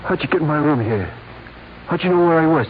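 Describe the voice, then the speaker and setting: An actor playing a skeptical, streetwise small-time crook who talks in slangy wisecracks in a 1940s radio drama. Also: male voice, on the high side, expressive, quick pace, close to an everyday voice. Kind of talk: 1940s radio gangster acting. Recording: tinny, hissy 1940s radio recording.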